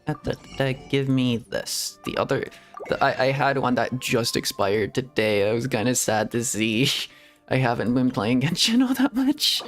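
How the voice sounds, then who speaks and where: A man's voice: mid-range voice, lively intonation, moderate pace, a bit bright. A man streaming a video game, chatting and rambling casually and laughing often. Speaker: VTuber streamer. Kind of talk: casual gameplay stream commentary. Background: faint game music.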